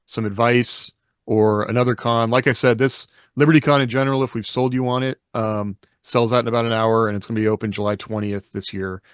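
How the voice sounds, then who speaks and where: A man's voice: mid-range voice, fairly even intonation, a little fast, clear, resonant tone, no echo, clean studio sound. A man hosting a casual podcast chat in an easygoing, loosely phrased way, as if thinking aloud. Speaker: podcast co-host and author.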